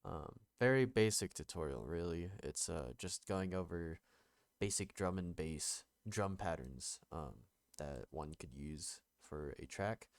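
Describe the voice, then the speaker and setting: Man, young adult, very low voice, nasal, getting over an illness, casual tutorial talk. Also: animated, no room sound, studio quality. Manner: halting, many ums and restarts, low-key. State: recovering from illness. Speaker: music production tutor. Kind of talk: casual screen-recorded tutorial.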